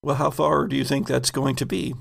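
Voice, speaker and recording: A man narrating a horror sci-fi tabletop game as game master, in a deliberate, descriptive way. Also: medium pitch, little pitch movement, gravelly.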